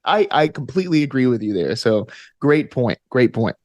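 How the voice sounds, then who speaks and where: A man talking casually as a podcast guest, animated, often restarting his sentences and chuckling as he goes. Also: mid-range voice, normal speed, dry recording, lo-fi sound. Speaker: podcast guest, gamer and game master. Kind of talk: casual conversational interview.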